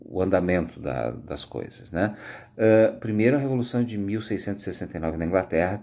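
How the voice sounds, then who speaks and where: Deep-voiced Brazilian man teaching. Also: a little mellow. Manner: conversational, explanatory, frequent "né" tags. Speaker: high school history teacher. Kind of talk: informal recorded history lecture.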